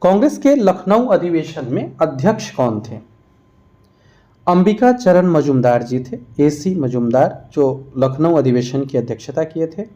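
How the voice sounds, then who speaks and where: A man's voice: fairly high pitch, expressive, unhurried, dark tone, lo-fi sound. A man narrating a quiz-style lesson as a teacher, explaining and repeating answers for emphasis. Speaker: exam-prep history teacher.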